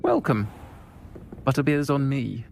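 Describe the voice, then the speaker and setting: An actor playing an unflappable, protective tavern keeper with a dry, firm manner in a video game. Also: male voice, mid-range voice, a little slow, gravelly, background noise. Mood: friendly welcome. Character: warm, unflappable, protective tavern keeper who faces down bullies. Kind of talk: video game cutscene acting.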